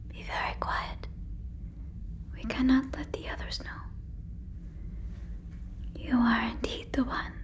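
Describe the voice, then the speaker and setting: A woman voicing an earnest, protective alien in an ASMR roleplay, whispering breathily close to a microphone with halting, stilted phrasing. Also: high voice. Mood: secretive, hushed. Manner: halting, stilted phrasing like a learner of human words. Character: earnest female alien, protective, gently convinced listener is a cow. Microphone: fluffy microphone, very close.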